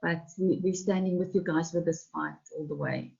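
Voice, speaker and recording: Halting woman presenter. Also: fairly low pitch, huge pitch swings, vocal fry, darkish tone, lo-fi sound. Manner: halting, repeats words, sympathetic. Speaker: radio presenter.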